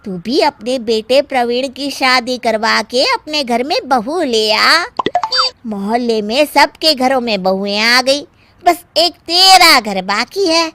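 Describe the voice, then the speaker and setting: A woman voicing a blunt, scolding middle-aged female friend in a cartoon story, with an animated, chiding manner. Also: a little high, slow pace, a little hiss or hum, exaggerated voice. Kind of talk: animated moral story acting.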